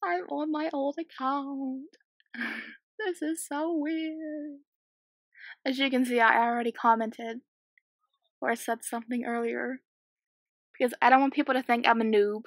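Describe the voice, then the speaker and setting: A sixteen-year-old girl with a slightly croaky voice, chatting and giggling casually while commentating a game she is playing at home. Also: high voice, fairly even intonation, a little slow, pauses often, no room sound, clean studio sound. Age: 16, teenager. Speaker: gaming YouTuber. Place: small home room.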